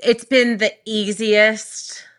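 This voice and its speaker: A young adult woman, a worship singer, tells her story in an interview, talking quickly and animatedly with frequent restarts. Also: fairly high pitch, level tone, fairly bright tone. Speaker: worship singer and recording artist.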